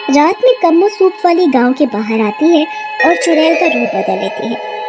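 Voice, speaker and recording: A woman storyteller reading scripted narration for an animated horror tale. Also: high voice, moderate pace, loud music behind the voice.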